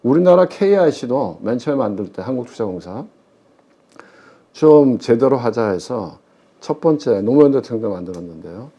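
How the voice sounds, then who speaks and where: A man's voice: mid-range voice, lively intonation, normal speed, dark tone, slightly rough. A middle-aged man talking as an expert guest in a conversational interview, emphatic and often answering his own questions, with slightly muffled sound. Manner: emphatic, posing questions and answering them himself. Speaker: guest expert, former pension fund chief investment officer. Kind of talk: conversational interview commentary on public finance.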